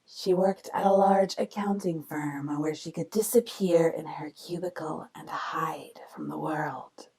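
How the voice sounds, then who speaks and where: A woman narrating a scripted radio mystery drama in a gravelly voice that drops into breathiness. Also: deep voice, unhurried, few pauses, a little mellow, poor audio.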